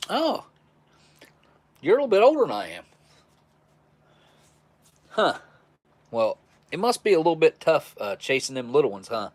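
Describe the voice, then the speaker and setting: A man's voice: on the high side, wildly swooping pitch, moderate pace, pauses often, a little mellow. A man in his forties hosting a live stream, chatting casually with viewers in a rambling, chuckling, easygoing way. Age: 40s. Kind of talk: casual live chat reading viewer messages.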